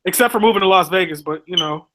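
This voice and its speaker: A man's voice: sits high, fast talker, noisy background. A man co-hosting a sports talk show, dry and offhand, correcting himself as he goes. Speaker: podcast co-host. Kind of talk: casual sports banter.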